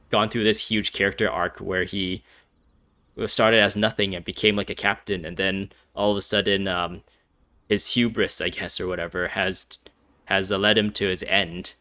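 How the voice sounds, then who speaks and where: A man with a lowish voice and a bright, forceful edge talks through a video game in a loose, rambling way. He fills his thoughts with "like" and "um" and laughs easily, over faint game music. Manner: rambling, many fillers, laughs often. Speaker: gameplay streamer. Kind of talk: casual commentary over gameplay.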